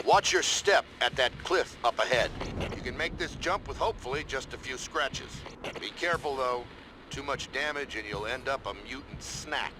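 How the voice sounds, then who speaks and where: An actor playing a stern military commander in a video game, giving measured, authoritative mission briefings. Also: male voice, mid-range voice, music in the background, lightly acted. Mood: instructive. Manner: measured, authoritative, matter-of-fact.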